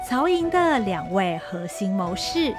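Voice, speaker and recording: A woman's voice: mid-range voice, sing-song, measured pace, over music. A woman narrating a historical radio drama in a vivid, dramatic storytelling style.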